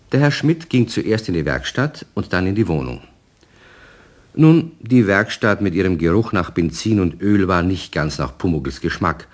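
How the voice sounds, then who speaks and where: Male narrator for children's radio drama: deep voice, lively melody, colloquial storytelling.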